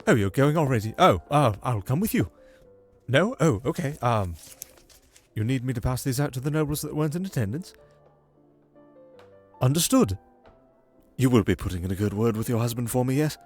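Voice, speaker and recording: A man's voice: medium pitch, animated, moderate pace, pauses often, a little mellow, slightly rough, soft background music. A man playing a nervous, eager-to-please nobleman who stammers and fawns in an audio roleplay drama. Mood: nervous, pleading. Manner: stammering, fawning, anxious.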